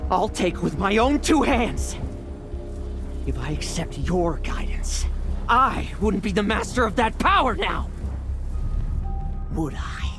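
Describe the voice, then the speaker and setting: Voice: medium pitch, expressive, slow, long pauses, over music. An actor playing a cocky, wry young knight in a video game, with a sardonic, nonchalant delivery. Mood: defiant. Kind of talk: dramatic game voice acting.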